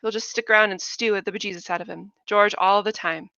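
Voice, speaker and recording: A woman's voice: medium pitch, fairly even intonation, quick pace, vocal fry, pushed and bright, no echo. A woman voicing a fat little old aunt who scolds and nags sharply in a storybook reading. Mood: scolding. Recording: dull lo-fi dry recording.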